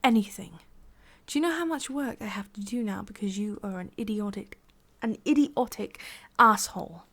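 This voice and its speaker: A woman's voice: medium pitch, normal speed, a bit bright. Woman playing a sadistic female boss, English accent, ASMR roleplay, whispery, condescending, menacing, foul-mouthed. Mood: scornful, irritated. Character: sadistic, domineering female boss scolding an employee.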